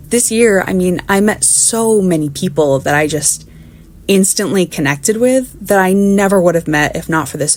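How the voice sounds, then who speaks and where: A woman's voice: lowish voice, no echo. A woman in her twenties giving a prepared personal testimonial as a fan, speaking in an articulate, earnest and appreciative way. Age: 20s. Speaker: fan sending in a recorded clip.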